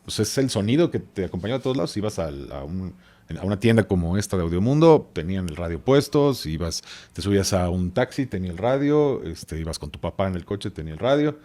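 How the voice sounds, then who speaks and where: A Mexican man with a low-pitched voice talks as an expert guest on a podcast. He explains casually and hesitantly, often drifting into side remarks in a loose conversational way. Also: sing-song, quick pace, warm tone. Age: 40s. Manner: rambling, hesitant, with asides.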